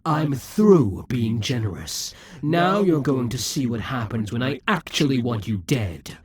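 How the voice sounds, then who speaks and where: A man voicing a proud, vengeful male demon king centuries old, speaking slowly and theatrically in an audio roleplay, with hissing whispers at times. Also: medium pitch, sing-song. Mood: scornful. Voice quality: very husky, breathy.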